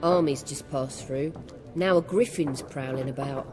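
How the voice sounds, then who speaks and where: An actress playing a brisk, welcoming village innkeeper in a video game cutscene, polite and matter-of-fact. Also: female voice, a bass voice. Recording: game audio with music behind.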